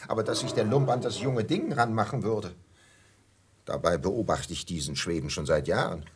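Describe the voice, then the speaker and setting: An actor in a German radio drama playing a whining, resentful barkeeper with a husky voice, given to self-pitying rants. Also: male voice, on the low side, normal speed. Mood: indignant complaining. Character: whining, resentful hotel barkeeper, obsequious yet vengeful man.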